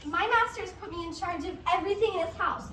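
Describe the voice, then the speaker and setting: An earnest, upright young manservant, voiced by a woman in amateur stage drama. The tone is high and forceful. It is picked up from far off in a roomy space. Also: fast talker. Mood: indignant. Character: upright, loyal young male servant, handsome and earnest. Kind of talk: amateur reader's theatre performance. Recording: roomy, distant, faintly noisy.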